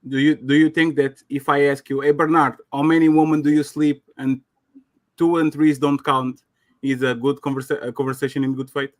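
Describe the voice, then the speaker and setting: A man's voice: fairly high pitch, little pitch movement, brisk, no room sound. Insistent Portuguese man on a call. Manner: insistent, repeats himself, broken English. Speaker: caller. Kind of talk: heated call-in debate.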